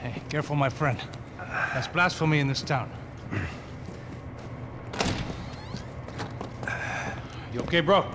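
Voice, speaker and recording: A man's voice: on the high side, brisk, noisy background, close to an everyday voice. An actor playing a cocky, wisecracking male bodyguard in a video game cutscene, teasing and casual like a brother.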